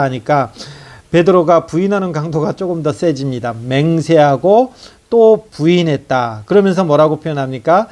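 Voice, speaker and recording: Man preaching through scripture, fairly high voice. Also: a little mellow. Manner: explains scripture verse by verse, asking rhetorical questions. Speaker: pastor. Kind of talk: expository sermon.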